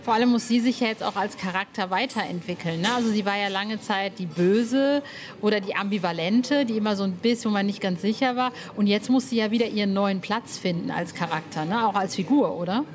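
A woman's voice: medium pitch, brisk, slight background noise. A woman hosting a casual podcast interview, speaking informally and with emphasis.

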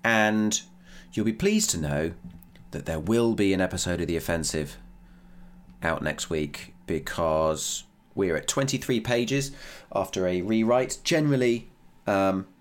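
Low-voiced British man, candid and sweary. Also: measured pace. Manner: candid, self-deprecating, sweary. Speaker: podcast writer and creator. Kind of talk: casual behind-the-scenes audio diary.

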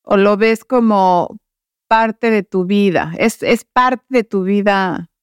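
A woman's voice: mid-range voice, takes it slowly, clean tone, no room sound, clean studio sound. A woman hosting a casual interview in an engaged, informal, affirming way. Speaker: podcast host, interviewer.

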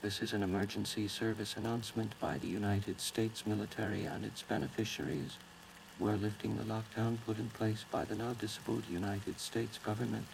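A man's voice: fairly low pitch, little pitch movement, fairly quick, gravelly. A man voicing a male military broadcast announcer, reading a stern formal notice in an audio drama. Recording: noisy broadcast recording.

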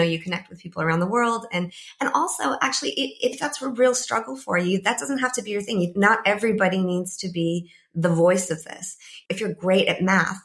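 A woman in her thirties, a climate psychologist and podcast guest, talking thoughtfully in a croaky voice with many soft fillers. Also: mid-range voice. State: croaky, a bit ill. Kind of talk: casual interview talk.

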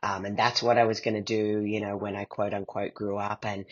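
A man's voice: lowish voice, little pitch movement, a little fast, fairly bright tone, lo-fi sound. A middle-aged man answering as an interview guest, reflecting in a hesitant, meandering way as he thinks aloud. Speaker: guest, playwright and performer.

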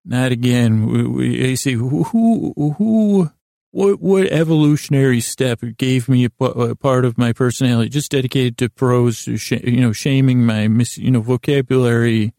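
A man hosting a bedtime podcast in a meandering, halting monologue that keeps interrupting itself, lighthearted and self-deprecating, with a gravelly voice. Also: mid-range voice, warm tone, dry recording, clean studio sound. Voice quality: gravelly, creaky. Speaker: podcast host.

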